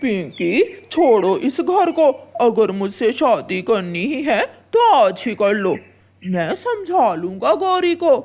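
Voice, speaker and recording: A woman's voice: on the high side, very animated, takes it slowly, a little mellow, full character voice. A woman voicing a flirtatious, bossy male crow husband in a cartoon story, with an emphatic, wheedling delivery. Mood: insistent. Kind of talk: Hindi cartoon story acting.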